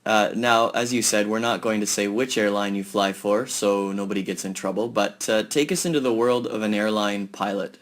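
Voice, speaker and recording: A man hosting a podcast, giving a measured, announcer-like welcome and then asking friendly interview questions. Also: on the low side, steady tone. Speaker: podcast host. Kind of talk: scripted intro then casual interview.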